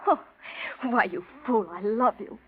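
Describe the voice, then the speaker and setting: A woman's voice: medium pitch, a little slow, a little hiss or hum, close to an everyday voice. An actress playing a scheming, passionate woman with a theatrical, imperious manner in a 1940s radio drama. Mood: ardent. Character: scheming, passionate woman in love with her cousin's fiance. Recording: dull vintage radio recording.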